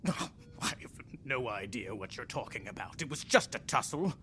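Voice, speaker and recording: A man playing a grandiose, eccentric one-armed alien warrior patient in an audio drama, with dramatic pauses. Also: mid-range voice, lively intonation, pushed and bright, a little hiss or hum. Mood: awed, boastful. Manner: theatrical, drawn-out delivery, dramatic pauses. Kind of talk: audio fiction acting.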